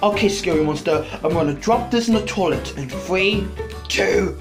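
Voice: mid-range voice, moderate pace, roomy sound, music in the background, close to an everyday voice. A performer voicing a mischievous ringleader who schemes and taunts in an amateur comedy skit. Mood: scheming, taunting.

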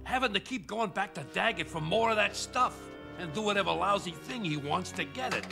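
An actor playing a loyal, worried stand-in and friend in an animated series, pleading and concerned. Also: male voice, high voice, brisk, vocal fry. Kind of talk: dramatic animated series acting. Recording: loud music under the dialogue.

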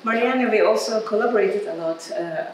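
A woman's voice: mid-range voice, a little fast. A middle-aged woman with a Turkish accent, moderating a panel as a curator and searching for her words. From Turkey. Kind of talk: reflective panel discussion. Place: gallery room, indoors. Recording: echoey room, faint background noise.

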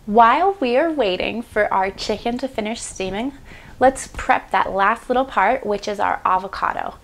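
A woman's voice: medium pitch, normal speed, gravelly, fairly bright tone. A woman in her twenties hosting a home cooking demonstration in a small kitchen, chatty and upbeat with playful asides, on a lapel mic. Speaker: cooking show host.

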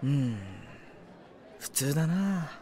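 A man's voice: on the high side, animated, takes it slowly, mellow. A man acting a playful, mischievous teenage volleyball player in an anime radio drama, with a teasing, theatrical manner.

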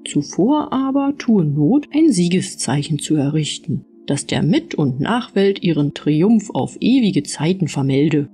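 A woman reading a scripted fairy-tale audiobook as narrator, with expressive storytelling. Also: deep voice, measured pace, few pauses, faint music behind.

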